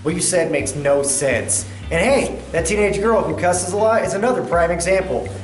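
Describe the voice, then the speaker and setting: A woman's voice: on the low side, normal speed, soft background music, close to an everyday voice. Woman playing an aggrieved creator, roomy echo. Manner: blunt, complaining. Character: aggrieved small video creator protesting unfairness. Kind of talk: comedy sketch acting.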